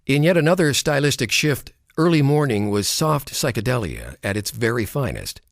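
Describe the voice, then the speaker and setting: Expressive male documentary narrator, dry studio sound. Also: mid-range voice, normal speed, no room sound. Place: recording studio. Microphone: studio microphone.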